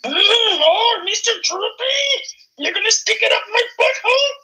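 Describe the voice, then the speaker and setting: A man voicing a squealing, flirtatious female pig puppet diva in an exaggerated falsetto. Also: lively intonation, a little slow, pushed and bright, heavily acted, poor audio. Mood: shrill, theatrical.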